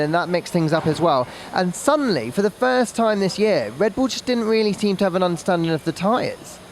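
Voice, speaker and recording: A man's voice: high voice, slight background noise. A man giving casual sports analysis as a motorsport podcast pundit.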